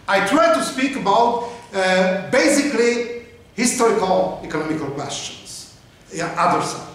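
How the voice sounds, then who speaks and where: A man in his fifties with a Hungarian accent, giving a university lecture in halting English full of fillers, heard from a camera at the back of a large lecture hall. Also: sits high, a bit bright. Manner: halting non-native English with many uh fillers, gesturing while pacing. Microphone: far camera. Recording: echoey lecture hall, distant mic.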